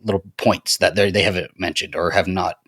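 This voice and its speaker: A man's voice: deep voice, fairly even intonation, a little fast, fairly bright tone, no room sound, studio quality. A man co-hosting a podcast in casual, informal chat. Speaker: podcast co-host.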